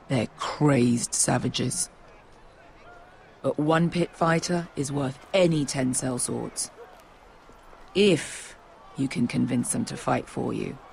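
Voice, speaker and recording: An actor playing a hardened, cynical sellsword in a video game, giving blunt, grim warnings. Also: male voice, medium pitch. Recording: clear outdoor background noise.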